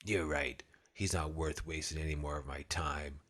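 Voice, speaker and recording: A man's voice: extremely low pitch, fairly even intonation, normal speed, a bit bright, breathy edge, full character voice. A man voicing cocky, menacing young male vampires in a comic-book cartoon. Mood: cold, dismissive. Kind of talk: motion comic voice acting. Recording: music behind the voice.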